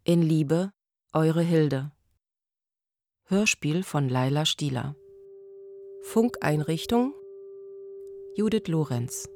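Female radio announcer: low, slow, sober scripted read over music. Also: animated, mellow. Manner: measured, sober. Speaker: announcer reading radio play credits.

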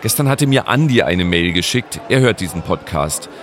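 A man narrating his own podcast episode in a calm, scripted storytelling manner. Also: low-pitched, normal speed, some chatter behind.

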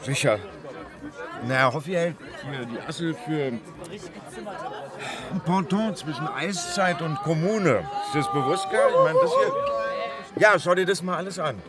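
A man's voice: on the high side, huge pitch swings, a little slow, pauses often, over a noisy crowd. An actor playing a fervent squat-dweller in a radio drama, emphatic and rhetorical as he rouses his comrades. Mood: fervent, urging.

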